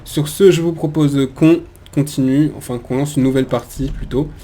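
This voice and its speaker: A man hosting a let's play with casual, hesitant game commentary, often halting and correcting himself. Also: on the high side, steady tone, warm tone. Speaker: let's play video host.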